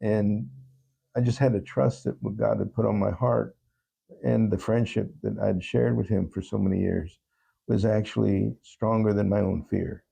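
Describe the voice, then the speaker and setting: Man, very dark soft tone, husky, halting, thoughtful, reflective interview answers. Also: fairly low pitch, fairly even intonation, poor audio. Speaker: talk show guest.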